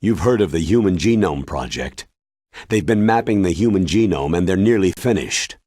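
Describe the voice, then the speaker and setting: A man voicing a grave, authoritative military commander in a video game, explaining in a measured, solemn way with a husky voice. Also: sits low, animated, darkish tone, no room sound, clean studio sound. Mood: solemn, explanatory.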